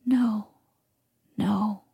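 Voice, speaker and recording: A gentle moonbeam spirit, sorrowful and pleading, whispered by a woman. The voice is breathy, with a low gravelly edge and a dark, mellow tone. Every word is carried on a hush, very slowly and close to the ear. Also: fairly even intonation, no room sound, exaggerated voice. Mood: anxious. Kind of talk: whispered ASMR storytelling.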